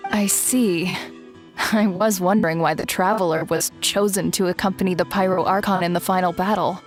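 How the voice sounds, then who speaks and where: A woman's voice: lowish voice, steady tone, moderate pace, lightly acted. A woman playing a composed, thoughtful tribeswoman in an anime-style game, with music behind her. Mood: pensive.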